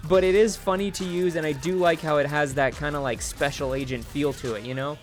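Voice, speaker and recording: A man gives casual review commentary on a game in a voice pitched a little above average. His delivery is colloquial, jokey and opinionated, like a host chatting to viewers. Music plays faintly behind him. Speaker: gaming video host reviewing a mod.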